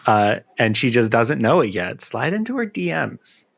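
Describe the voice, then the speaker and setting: A man's voice: medium pitch, big pitch swings, moderate pace, vocal fry. A man co-hosting a podcast, talking in a casual, conversational style. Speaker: podcast co-host and editor. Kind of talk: casual chat.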